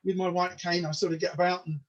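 Man in his sixties, Polish native speaker, somewhat high voice, hesitant, repeats words, lo-fi dull recording. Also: level tone, fast. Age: 60s. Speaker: comedian guest. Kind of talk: casual interview talk. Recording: dull lo-fi sound.

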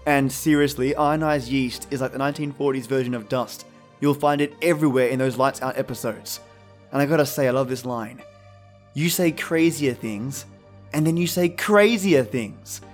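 A man's voice: mid-range voice, moderate pace, faint music behind. A man hosting a podcast with casual commentary addressed to listeners. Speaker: podcast host.

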